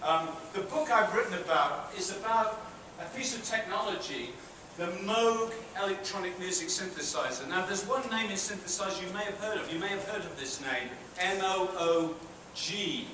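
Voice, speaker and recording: A man's voice: high voice, lively intonation, normal speed, hardly any pauses. A middle-aged British man with a British accent, giving a chatty university lecture and asking the audience questions. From Britain. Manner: chatty, asks the audience questions, self-deprecating asides. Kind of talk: public lecture to students. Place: large theatre hall with an audience. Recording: very echoey hall, far-off and noisy.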